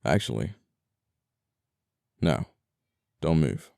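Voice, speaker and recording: Man acting a very deep, gravelly, genteel murderer. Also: very animated, very slow, no room sound, studio quality, heavily acted. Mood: wistful, controlled. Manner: soft-spoken politeness, condescending, old-fashioned phrasing. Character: apologetic, flirty murderer, genteel amoral scoundrel. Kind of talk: intimate ASMR audio roleplay acting.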